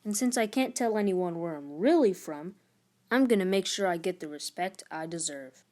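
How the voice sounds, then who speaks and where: A woman's voice: lowish voice, animated, normal speed, a little mellow, lightly acted. Woman reading as a proud, defiant schoolboy. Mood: defensive pride. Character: proud, status-hungry middle-school boy, dismissive of friends.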